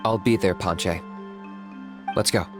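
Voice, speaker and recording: An actor playing a cool, pragmatic young male warrior in a video game, speaking in a measured, matter-of-fact way. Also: deep voice, fairly even intonation, music in the background.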